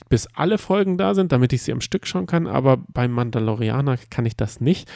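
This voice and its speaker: A man's voice: mid-range voice, vocal fry, a little mellow, no echo, clean studio sound. A man co-hosting a casual podcast, giving recommendations in an informal, self-correcting way. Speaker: podcast co-host.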